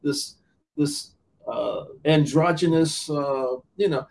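A man's voice: a little high, animated, slow pace, creaky, darkish tone, distant mic, slight background noise. A man who works as a minister, talking earnestly in an interview and often restarting and repeating words mid-sentence. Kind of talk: podcast interview talk.

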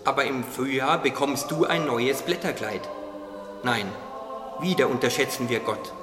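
A man voicing a wise, gently teasing caterpillar in a radio play, explaining things earnestly. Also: medium pitch, unhurried, natural voice. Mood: hopeful, insistent. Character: wise, teasing caterpillar confidant, calmly preaching hope. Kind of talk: German religious audio drama acting. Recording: echoey reverberant hall, music underneath.

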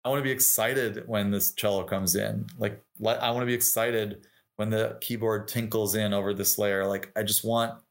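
Low-pitched middle-aged man, informal and talkative. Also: fairly even intonation, normal speed, gravelly. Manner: informal and talkative, voicing imagined quotes. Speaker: interview guest, touring musician and singer. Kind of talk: casual conversational interview, explaining craft.

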